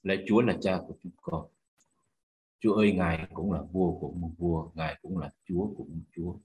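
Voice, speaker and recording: A man's voice: low voice, fairly even intonation, fairly quick, darkish tone, slight background noise. A man, a pastor, leading a spoken prayer with fervent repeated cries to God between phrases.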